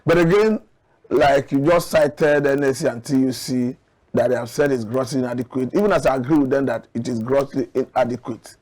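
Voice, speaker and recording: A man with a Nigerian accent, arguing his points deliberately and emphatically as an interview guest analysing politics. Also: mid-range voice, a little mellow, rough recording. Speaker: studio guest, commentator.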